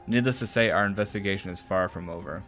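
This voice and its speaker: A man's voice: low voice, fast talker, fairly bright tone, faint music behind. A man streaming a video game, reading its formal cutscene dialogue aloud with some stumbles and casual reactions.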